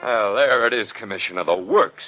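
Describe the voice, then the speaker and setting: An actor playing an insistent, crusading newspaper reporter in a 1940s radio drama, urgent and argumentative. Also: male voice, lowish voice, moderate pace, over loud music, close to an everyday voice. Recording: muffled vintage 1940s radio broadcast.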